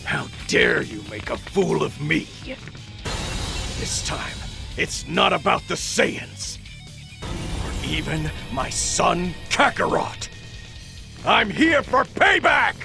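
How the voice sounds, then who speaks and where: An actor voicing a vengeful, defiant alien warrior father with a gruff, battle-ready delivery in an anime video game. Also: on the high side, very animated, takes it slowly, long pauses, music in the background, exaggerated voice. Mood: furious, defiant. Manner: forceful, battle-ready, shouting.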